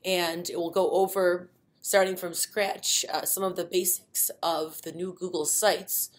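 Middle-aged woman, webinar trainer, low-ish voice, filler-heavy, relaxed explaining. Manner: many fillers, unhurried explaining. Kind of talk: informal instructional webinar.

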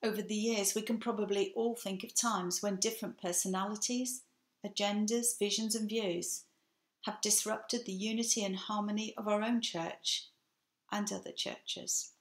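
Older English woman, sixties, reading a home sermon to webcam; earnest, gravelly, roomy sound. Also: mid-range voice, fairly even intonation. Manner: measured, earnest delivery. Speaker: preacher. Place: home room with bookshelf, indoors. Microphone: webcam, seated facing camera. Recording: echoey room.

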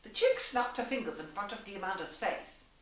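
A woman's voice: medium pitch, lively intonation, moderate pace, a bit bright. A young woman reading a story aloud in a theatrical, arch, mock-genteel manner. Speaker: story reader. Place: small room indoors. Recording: echoey room, distant mic.

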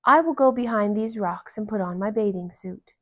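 A woman's voice: mid-range voice, moderate pace, fairly bright tone, close to an everyday voice. A grumbly, self-conscious toad voiced by a woman from a children's storybook. He speaks emphatically and complains in a bashful way. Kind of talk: storybook read-aloud.

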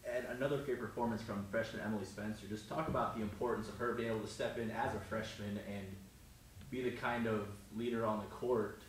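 A man's voice: on the low side, fairly even intonation, brisk, recorded from a distance. A man interviewing a coach after a match, asking upbeat, leading questions. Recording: very echoey room, off-mic, faint noise.

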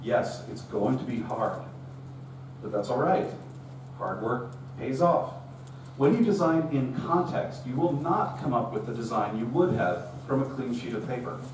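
A man's voice: mid-range voice, animated, a little slow. A middle-aged man giving a conversational, storytelling technical talk to a meetup audience, recorded from a distance. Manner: conversational storytelling, acts out quoted dialogue. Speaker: conference speaker. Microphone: room recording from far camera. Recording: roomy, distant, faint hum.

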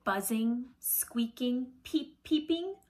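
Breathy woman reading a picture book to children. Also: fairly high pitch. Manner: deliberate, expressive storytelling, often dropping to a hush. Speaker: Montessori teacher reading aloud to children. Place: small indoor room at home with shelves.

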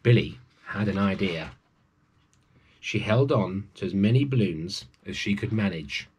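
A man's voice: fairly low pitch, expressive, measured pace, a little mellow, a little hiss or hum. A man in his forties reads a children's story aloud, adding chatty asides to his young listeners. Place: small room at home with bookshelves.

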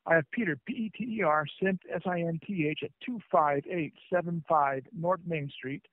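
A man's voice: on the high side, normal speed, no room sound. A man working as a phone agent, speaking politely and formally in scripted customer service style. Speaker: plumbing company phone agent. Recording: tinny lo-fi phone call.

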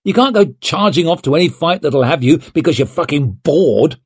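A man's voice: fairly high pitch, expressive, moderate pace, husky, dry recording, voice pushed up. A man voicing a sensible, exasperated young man scolding his friend earnestly in an audiobook.

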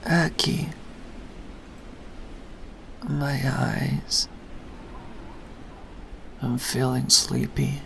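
Performer acting, teenage boy devil hunter, creaky voice, slow pace, blunt, simple, complaining, anime comic dub. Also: medium pitch, steady tone, a bit bright, a little hiss or hum, full character voice. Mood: sleepy.

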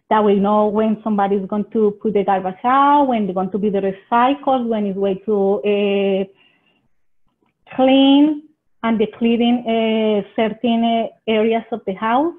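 A woman's voice: on the high side, fairly even intonation. Colombian-accented woman over a tinny call. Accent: Colombian Spanish. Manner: earnest, halting non-native phrasing. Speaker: extension agent presenter. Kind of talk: webinar presentation. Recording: tinny phone-like webinar audio.

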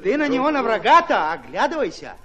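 An actor playing a fiery, shrewd Red Army commander with folksy, commanding peasant speech in a radio drama. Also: male voice, extremely high pitch, huge pitch swings, fairly quick, fairly bright tone, heavily acted. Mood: brisk, folksy.

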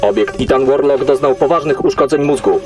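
A man's voice: mid-range voice, fairly quick, music in the background, close to an everyday voice. A man playing an emotionless military AI, giving clipped, formal reports in a sci-fi audio drama. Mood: cold, detached. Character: cold logical military AI controlling a soldier's body.

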